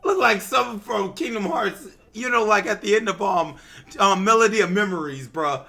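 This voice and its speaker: A man's voice: sits high, moderate pace, creaky, sharp tone. A man doing casual, slangy live reaction commentary as a game streamer, full of exclamations.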